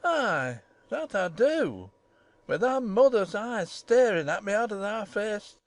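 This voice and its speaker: A woman voicing an ignorant, tactless old male gardener in a storybook, hoarse and shaky, with halting Yorkshire dialect. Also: medium pitch, very animated, no room sound. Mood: awed, shaky. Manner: halting, rustic dialect speech.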